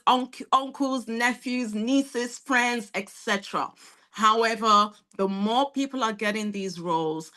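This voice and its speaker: A woman's voice: medium pitch, fairly bright tone. A woman presenting an online career webinar, talking straight to her audience in a direct and encouraging way. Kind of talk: motivational webinar talk.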